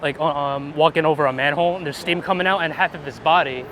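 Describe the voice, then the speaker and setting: A man with a slightly high voice gives casual, slangy interview answers outdoors. Clear city traffic noise runs behind his informal talk. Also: fairly even intonation, fast, fairly bright tone. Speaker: interview guest, street photographer. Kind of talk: casual street interview chat. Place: outdoors on a busy city street.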